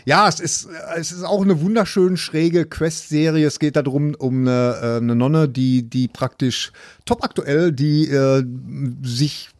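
Man chatting haltingly, dry close sound. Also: on the high side, steady tone, no echo. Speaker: film podcast co-host. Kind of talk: casual chat about films and series. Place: living room.

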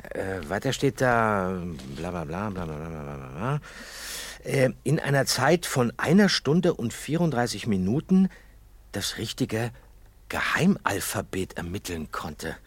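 A man's voice: lowish voice, very animated, measured pace, dry recording. An actor playing an observant, eager young male detective in a German audio drama, thinking aloud with hesitant starts. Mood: excited, reading out.

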